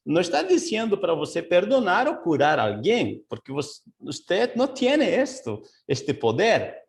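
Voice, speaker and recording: Man, pastor, Bible study over video call, lively rising and falling pitch, somewhat high voice, mixes Portuguese and Spanish. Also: creaky. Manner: mixes Portuguese and Spanish, slow and searching, many fillers and asides.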